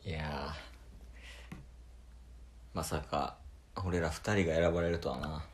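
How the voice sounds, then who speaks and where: Man acting low-voiced Kansai love rival, blunt. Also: fairly even intonation, takes it slowly, a little hiss or hum. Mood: teasing, skeptical. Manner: blunt, informal Kansai banter. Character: competitive older male rival on a dating show, proud and determined. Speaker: amateur radio drama lead. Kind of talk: amateur radio drama dialogue.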